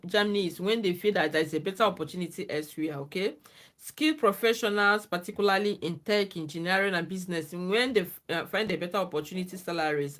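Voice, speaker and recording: A woman's voice: medium pitch, fairly bright tone. Earnest woman giving advice, checks in often. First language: not English. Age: adult, former lecturer. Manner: earnest, repeats "okay?" to check in with listeners. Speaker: livestream host. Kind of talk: casual advice talk to viewers.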